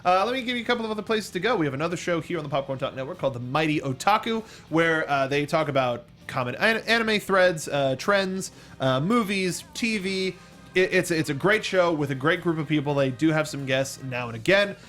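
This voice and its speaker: A man's voice: a little high, animated, brisk, a bit bright, soft background music. A man hosting a review show in a casual, informal and enthusiastic way. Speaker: show host.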